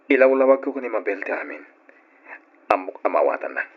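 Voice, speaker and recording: A man playing an insistent married father in an Ilocano radio drama, with a husky voice. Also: sits low, big pitch swings, brisk, over music, heavily acted. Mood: pleading, insistent. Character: Jerome, insistent married father demanding truth about his son. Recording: muffled lo-fi old radio recording.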